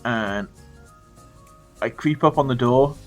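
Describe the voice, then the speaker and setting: A British man telling a funny story to his viewers in a casual, animated way, swearing freely. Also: mid-range voice, slow, faint music behind. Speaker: storytelling vlogger.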